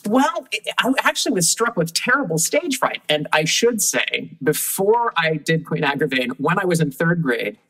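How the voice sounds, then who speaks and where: A woman with a deep voice, a guest telling lively anecdotes in casual conversation and laughing often. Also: normal speed, hardly any pauses, rough recording. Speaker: guest puppeteer.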